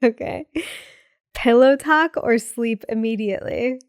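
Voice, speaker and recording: A young woman co-hosting a podcast in casual chat, bubbly and quick with asides, laughing often, sounding a bit sick with a cold. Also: on the high side, smooth, no echo, studio quality. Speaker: podcast co-host.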